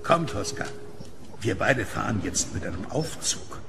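Man playing a gravelly, reserved kiosk keeper. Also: on the low side, measured pace, over music, exaggerated voice. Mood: calm. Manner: calm, polite, guarded. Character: reserved, curious blind male kiosk keeper with dog. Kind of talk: German horror audio drama acting.

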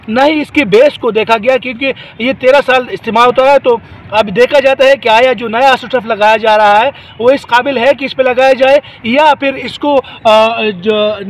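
A man in his forties giving an on-location news report at an outdoor stadium, pausing often with fillers as he talks. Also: extremely high pitch, low-quality recording. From Pakistan. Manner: hesitant, with many filler pauses. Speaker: field reporter. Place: outdoor hockey stadium, daytime.

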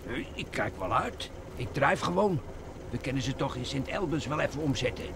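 Vintage radio drama: slangy petty crook, middle pitch, noisy car recording. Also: male voice, expressive, moderate pace, creaky. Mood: gruff, chatty. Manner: colloquial underworld slang. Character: nervy petty car-thief accomplice, slangy adult man. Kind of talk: vintage Dutch radio play acting.

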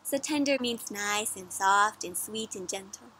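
A young woman reading a picture book aloud to children outdoors, pausing to ask them questions in a teacherly way. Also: mid-range voice, poor audio. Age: young adult. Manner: animated teacherly questioning, addressing children as friends. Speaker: children's story reader. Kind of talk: interactive picture-book read-aloud for kids. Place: outdoors in a garden or yard.

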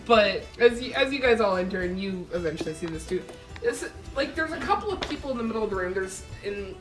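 A woman's voice: medium pitch, lively intonation, fast. Woman game master in a roomy recording. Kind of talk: casual improvised narration of a tabletop game. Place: living room around a table. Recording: roomy, far mic, music behind.

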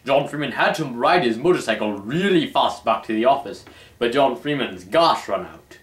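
A young man hosts a mock-dramatic reading with formal, pompous flair. His medium-pitched voice moves in lively, wide swings. The recording is echoey, picked up from a short distance in a small room. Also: normal speed. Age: young adult. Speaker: host and reader. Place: small dim room at home. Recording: roomy, distant camera microphone.

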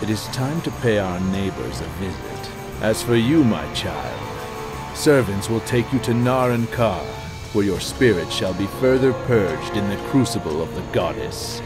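A low-voiced, imperious necromancer lord. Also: male voice, very animated. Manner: pompous, measured, archaic phrasing. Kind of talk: dramatic fantasy game dialogue. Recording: loud music under the voice.

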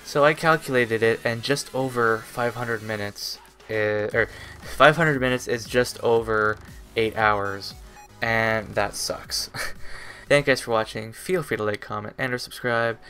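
Man, twenties, medium pitch, casual vlogger, halting rambling delivery, light background music. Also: pushed and bright. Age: 20s. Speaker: YouTube vlogger. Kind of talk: casual rambling webcam vlog. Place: small bedroom, indoors.

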